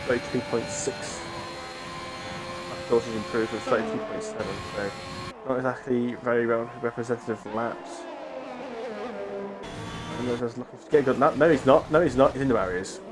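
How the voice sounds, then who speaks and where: A man with a cough and a sore throat from a cold, giving rambling, chatty live commentary on an online racing league and often breaking off mid-thought. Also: mid-range voice. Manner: rambling, chatty, self-interrupting. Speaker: race commentator.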